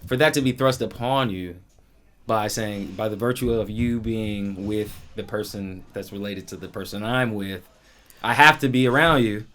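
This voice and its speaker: A man hosting a podcast, chatting casually and telling stories in an animated way with frequent check-in questions. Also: on the low side, moderate pace, a little hiss or hum. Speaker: podcast host, musician.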